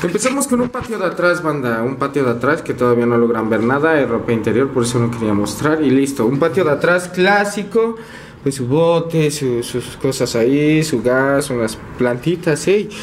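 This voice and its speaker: A man's voice: medium pitch, normal speed, recorded from a distance, slight background noise. A young man with a Mexican Spanish accent giving a casual, slangy tour of his small apartment to his viewers. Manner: slangy, energetic, talks to viewers as "banda". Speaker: vlogger. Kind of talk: casual house tour vlog. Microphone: handheld camera mic. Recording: echoey room, a bit off-mic.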